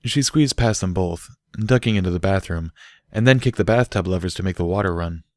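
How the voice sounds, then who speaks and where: A man narrates a story in a low voice, recorded dry and close. He reads scripted prose as an audiobook reader. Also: fairly quick, no echo.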